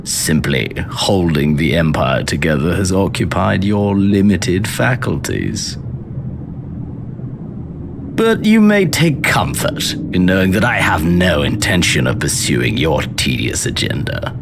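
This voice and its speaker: A cold, bloodthirsty prince from a fantasy game, with a very low voice that swings widely in pitch over loud music. He is haughty and deliberate, choosing archaic words with disdain. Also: sing-song, creaky, heavily acted. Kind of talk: theatrical video game cutscene acting. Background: loud cutscene music.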